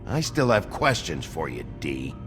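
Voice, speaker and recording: An actor playing a gruff, weary sheriff detective in a video game, gravelly and terse. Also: male voice, a bass voice, very animated, measured pace, exaggerated voice. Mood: menacing. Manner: terse, blunt. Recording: loud music behind.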